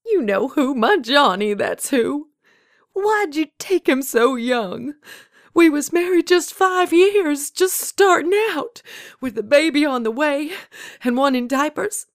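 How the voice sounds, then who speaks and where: A woman's voice: lowish voice, expressive, unhurried, no room sound, clean studio sound. A woman voicing a feisty, demanding widow, in a told story. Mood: grieving, accusing. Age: 80s. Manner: folksy, demanding, scolding. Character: feisty, indignant old Southern woman, nearly eighty-seven.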